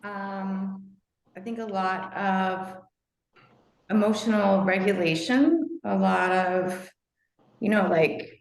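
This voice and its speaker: A woman's voice: medium pitch, takes it slowly. A woman taking part in a group session, speaking reflectively with many "like" fillers. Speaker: session participant. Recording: echoey room.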